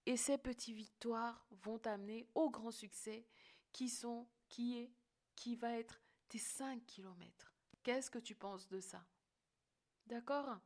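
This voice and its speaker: A woman's voice: a little high, fairly even intonation, takes it slowly, a little mellow. A woman hosting a motivational coaching podcast, speaking emphatically and often checking in with the listener. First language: French-English bilingual.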